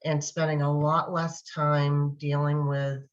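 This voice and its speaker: A woman's voice: low voice, little pitch movement, takes it slowly, lo-fi sound. A middle-aged woman chatting reflectively as a guest, with a thoughtful, hesitant delivery. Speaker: panel guest. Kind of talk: reflective casual chat.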